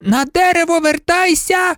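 A woman's voice: very high-pitched, measured pace, a bit bright, dry recording, studio quality, voice pushed up. A woman voicing a bossy, stingy old woman fairy who scolds and gives orders. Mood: angry scolding. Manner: scolding, commanding.

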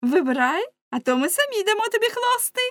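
A woman's voice: very high voice, big pitch swings, brisk, fairly bright tone, no echo, clean studio sound, voice pushed up, exaggerated voice. A woman voicing bold, bawdy young tavern women in a storybook, teasing and exclaiming shrilly. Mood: mocking, demanding.

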